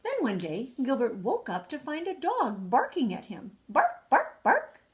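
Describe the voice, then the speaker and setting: A woman's voice: fairly high pitch, huge pitch swings, moderate pace, a little mellow. An older woman, a retired teacher, reading a children's bedtime story expressively, drawing out words for emphasis. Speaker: retired second-grade teacher.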